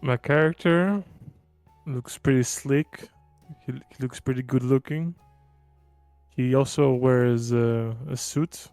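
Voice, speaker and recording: A man playing a tabletop roleplaying game, casually improvising in a halting way and stumbling over words. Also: mid-range voice, darkish tone, no room sound. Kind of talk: casual improvised character description. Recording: dry close voice with background music.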